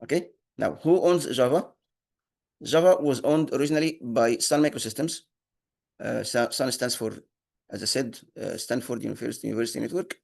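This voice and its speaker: A man whose first language is Arabic, teaching an online programming class, halting with many fillers and restarts. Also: medium pitch, creaky, darkish tone. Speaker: instructor. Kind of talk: online lecture.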